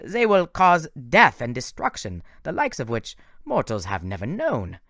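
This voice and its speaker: A man's voice: medium pitch, wildly swooping pitch, sharp tone, dry recording, studio quality, full character voice. A man putting on a gloating, menacing monster. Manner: slow, gloating and theatrical. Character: menacing male monster posing as a teacher.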